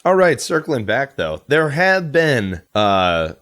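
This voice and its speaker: A man's voice: medium pitch, sing-song, takes it slowly, fairly bright tone, no echo, clean studio sound. An American man chatting casually as a podcast co-host, rambling and correcting himself as he thinks aloud. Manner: rambling, hedging, self-correcting. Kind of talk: casual chat.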